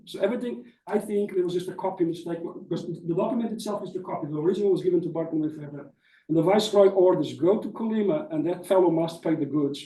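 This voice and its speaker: High-pitched man presenting in an echoey room. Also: fast, warm tone. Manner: explanatory, often tags points with "right?". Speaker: academic presenter answering questions. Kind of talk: spontaneous academic question-and-answer. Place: indoor conference room. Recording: echoey room, distant mic.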